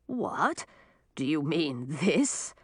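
A woman voicing a frail old male beggar in a storybook, plaintive and falsely humble with drawn-out phrasing. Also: sits low, big pitch swings, breathy edge, no room sound, studio quality, full character voice. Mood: innocent pleading. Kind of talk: storybook character voice.

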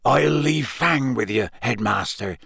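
A man's voice: mid-range voice, slow, gravelly, fairly bright tone, husky, no room sound, studio quality, full character voice. A man voicing a huge, hot-tempered, loyal giant of a man, growling in blunt rustic speech for an audiobook. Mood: gruff. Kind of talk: audiobook character acting.

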